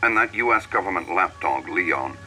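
Game villain boss, middle-aged, low forceful voice, clipped, authoritative, menacing, radio transmission, music behind. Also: male voice, animated, moderate pace, exaggerated voice. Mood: cold, commanding. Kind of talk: video game cutscene acting.

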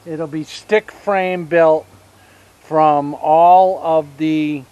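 Slow-talking older-sounding homestead man outdoors. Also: on the high side, steady tone, darkish tone, clear, resonant tone, highs cut off. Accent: Southern US. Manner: unhurried, explains step by step with pauses and fillers. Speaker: homesteader giving a tour. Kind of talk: casual walking tour. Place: outdoors on rural land by a pine forest. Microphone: action camera held at arm's length.

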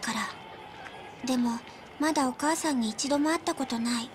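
A woman voicing an earnest, polite little girl, lonely but determined, in a Japanese video game drama, with a soft childlike manner. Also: sits high, moderate pace, a crowd in the background, close to an everyday voice. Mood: subdued.

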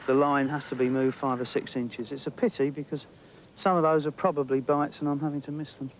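A man's voice: mid-range voice, little pitch movement, fairly quick. A middle-aged man with an English accent, talking enthusiastically to camera as he fishes and explores the bank of a lake outdoors. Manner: chatty and enthusiastic, thinking aloud with small restarts. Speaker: angling presenter. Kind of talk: on-location nature commentary while fishing.

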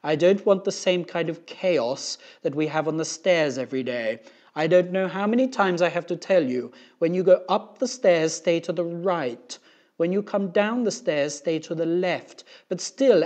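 A man voicing a loud, officious school principal making emphatic announcements in a children's storybook. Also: fairly high pitch, moderate pace, voice pushed up, natural voice. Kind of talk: children's storybook character reading.

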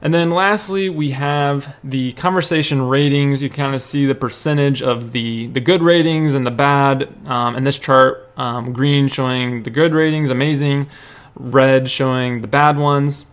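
A young man presenting a software demo in a casual, informal, conversational way, recorded indoors at a computer. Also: mid-range voice, steady tone, unhurried.

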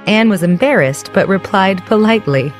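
A woman giving scripted narration for a children's story in a clear storytelling delivery. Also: mid-range voice, lively intonation, moderate pace, faint music behind.

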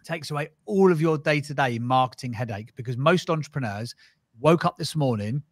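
A quick, energetic man in his thirties talks through his advice in a blunt, animated way. His voice sits close and dry on a studio mic in a small foam-lined room. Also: medium pitch, no room sound. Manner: animated, direct, advice-giving. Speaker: podcast agency founder, guest. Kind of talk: casual interview chat. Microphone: studio mic on arm, headphones.

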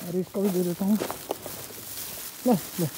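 A man's voice: sits high, fairly even intonation, measured pace. A young man narrates his ride in an informal, chatty way. He talks straight to his viewers like friends. The outdoor recording is very noisy, with a vehicle engine running throughout. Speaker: motovlogger. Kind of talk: casual vlog narration while riding. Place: outdoors, riding a motorbike through streets. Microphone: phone mounted on rider.

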